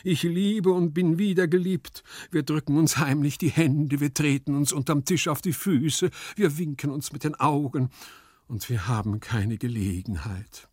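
A man's voice: medium pitch, a little slow, darkish tone, husky, no echo, clean studio sound. A man voicing a corpulent, lovesick storybook nobleman who sighs and declaims with theatrical sentimentality. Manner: pathetic declamation, sighing, swooning.

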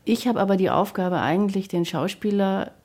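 A woman with a husky voice giving practical advice in an interview, as a director of actors. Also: medium pitch, normal speed, a little mellow. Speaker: radio drama director working with actors.

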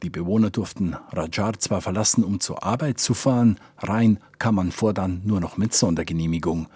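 A male reporter narrating a scripted news feature in a measured, matter-of-fact way. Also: deep voice, mellow, slightly rough, dry recording.

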